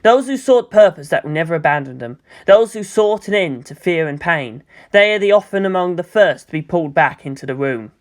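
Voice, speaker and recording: A woman's voice: on the low side, animated, a little fast, few pauses. A woman narrating a scripted story as a fan fiction reader.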